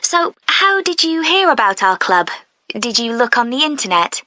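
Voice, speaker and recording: Bright, friendly club receptionist. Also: female voice, fairly high pitch, big pitch swings, normal speed, no room sound, studio quality. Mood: helpful, interested. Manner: clear, helpful, methodical questioning. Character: friendly, efficient camera club receptionist taking an application. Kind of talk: scripted listening-test phone dialogue.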